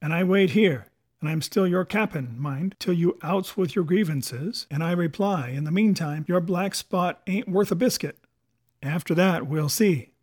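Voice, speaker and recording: A man's voice: sits high, measured pace, a little mellow. A man voicing a cunning, defiant pirate captain in a storybook, with sarcastic questions and rustic sailor's talk. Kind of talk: dramatic audiobook character voice.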